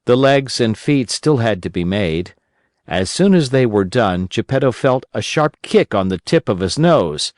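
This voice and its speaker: A man reading a children's story as an audiobook narrator, in a gentle, expressive storytelling manner. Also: medium pitch, measured pace, no room sound, studio quality.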